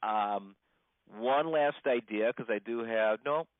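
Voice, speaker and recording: Man, rabbi, informal religious teaching, mid-pitched voice, halting conversational delivery, repetition for emphasis, muffled phone-call audio. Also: clear, resonant tone, no room sound. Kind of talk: informal religious lesson, explaining with examples. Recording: muffled band-limited phone conference call.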